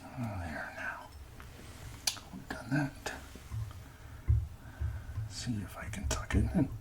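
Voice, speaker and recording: A man from Canada speaking softly and gently in an ASMR cooking style, often dropping to a breathy whisper as he talks through each step. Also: mid-range voice, very animated, slow pace. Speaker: amateur ASMR cooking host. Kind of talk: soft-spoken ASMR cooking narration.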